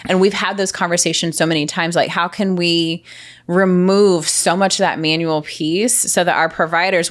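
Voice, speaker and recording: A woman answering interview questions as a healthcare director, earnest and impassioned, stacking repeated phrases. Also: fairly low pitch. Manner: speaks forcefully, says she has a loud voice. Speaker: healthcare revenue cycle director, guest.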